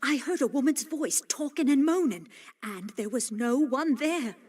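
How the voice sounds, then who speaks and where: Superstitious vampire hunter, high pitch, agitated, urgent, fearful game acting. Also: female voice, animated, normal speed, a little mellow, slightly rough. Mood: fearful, insistent. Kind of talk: dramatic video game acting.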